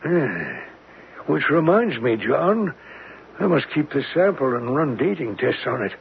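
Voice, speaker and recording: An actor playing a scholarly older professor in a 1970s radio drama, speaking with a hesitant, deliberate manner. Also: male voice, medium pitch, big pitch swings, vocal fry. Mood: intrigued. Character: scholarly older male professor, absent-minded yet eager. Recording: noisy, tinny old radio broadcast.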